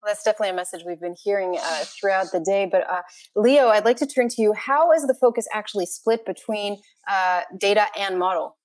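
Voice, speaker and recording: A woman's voice: medium pitch, brisk, darkish tone, dry recording. Female moderator, mid-range voice, formal presenter manner, dry close recording. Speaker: panel moderator. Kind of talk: hosting a panel discussion. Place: indoor room with curtain, video call. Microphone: wired earphones mic.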